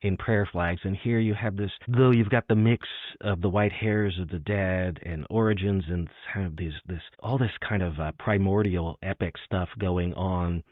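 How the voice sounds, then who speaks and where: Man, low voice, enthusiastic poetry host, conversational, dry thin phone-quality sound. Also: steady tone, moderate pace, hardly any pauses, no echo. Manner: enthusiastic, appreciative. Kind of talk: conversational literary interview. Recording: muffled phone-like audio.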